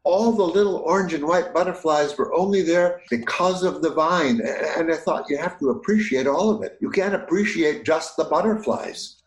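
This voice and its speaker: A man's voice: on the high side, normal speed, few pauses, reverberant, lightly acted, poor audio. An actor playing an earnest, smitten older man in a romantic comedy audio play, speaking in a wordy, old-fashioned, poetic way. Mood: tender, enthusiastic.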